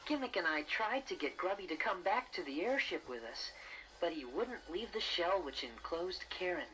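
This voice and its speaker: A man's voice: high-pitched, big pitch swings, moderate pace, sharp tone, a little hiss or hum, full character voice, rough recording. A man voicing a friendly, earnest young bear who narrates a children's storybook in a boyish manner. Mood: gentle storytelling. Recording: 1980s cassette with music behind.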